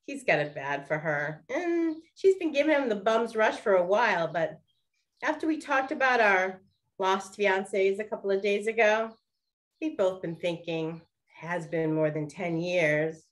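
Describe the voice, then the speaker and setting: A woman playing a brisk, excitable lady detective of the 1920s in a radio play. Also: mid-range voice, close to an everyday voice. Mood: chatty.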